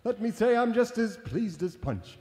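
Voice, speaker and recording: A man's voice: high-pitched, very animated, normal speed, mellow, big-room sound, voice pushed up. A man playing a pompous, speechifying older male activist guest in a radio comedy sketch. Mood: orating. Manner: orotund, speechifying, flustered. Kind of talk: 1990s radio comedy acting.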